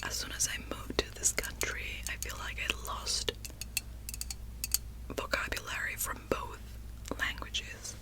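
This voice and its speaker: Slow soft whisper, woman w/ rambling asmr-style, joking about herself. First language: Spanish. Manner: soft rambling whisper, self-deprecating asides. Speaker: ASMR creator.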